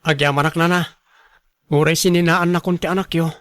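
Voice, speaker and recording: High-voiced, earnest young father, acted by a man. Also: fairly even intonation, a little fast, a bit bright, dry recording, natural voice. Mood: earnest, caring. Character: earnest young father, responsible, devoted to his child. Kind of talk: radio drama acting.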